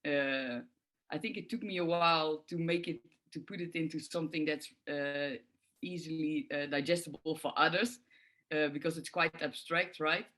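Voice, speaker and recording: A woman's voice: low voice, fairly even intonation, normal speed, fairly bright tone. A middle-aged woman from the Netherlands with a Dutch accent, speaking thoughtfully and with many filler sounds as a guest on forgiveness work. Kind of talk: reflective interview answers.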